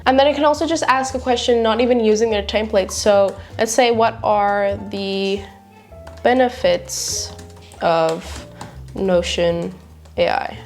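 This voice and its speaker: A woman in her twenties, a native Afrikaans speaker, giving a chatty, casual screen tutorial from her home office desk. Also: mid-range voice. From South Africa. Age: 20s. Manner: chatty, filler-heavy walkthrough. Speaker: YouTuber.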